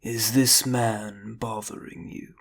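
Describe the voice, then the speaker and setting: A man voicing a stern human bodyguard who speaks in a cold, clipped, menacing way with a gravelly, husky voice. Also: on the low side, flat delivery, slow. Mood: coldly menacing.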